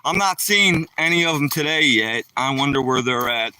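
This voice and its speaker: A man's voice: mid-range voice, lively intonation, normal speed, bright, forceful tone, clean tone, no echo, distant mic, poor audio. A middle-aged man from Philadelphia with a Philadelphia accent, chatting casually to viewers on a live stream while walking by a lake. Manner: rambling, talks to viewers directly. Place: outdoors by a rural lake. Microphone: phone held while walking.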